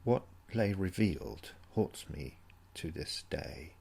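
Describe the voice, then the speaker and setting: Gravelly, low-voiced man reading an eerie story. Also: lively intonation, slow, a little mellow. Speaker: story narrator. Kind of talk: dramatic first-person audiobook reading of an eerie story.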